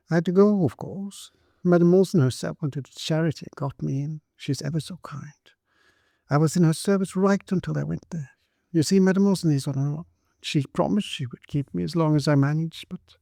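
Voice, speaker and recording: A man voicing a frightened young destitute servant girl with a shrill, stammering voice. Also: gravelly, mellow, no room sound, clean studio sound. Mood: timid. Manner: timid, stammering, pleading.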